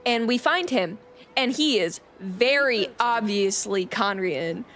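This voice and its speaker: A woman's voice: fairly high pitch, expressive, slow pace, a bit bright, slight background noise. A woman streaming a game, casually recapping its story to viewers in a rambling, easily sidetracked and playful way.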